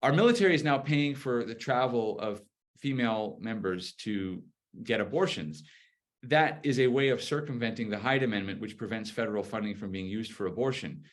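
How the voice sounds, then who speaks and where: A man with a lowish voice speaks as a political commentator in a live conversation. He is articulate and explanatory, building an argument point by point in a conversational style. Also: expressive, moderate pace.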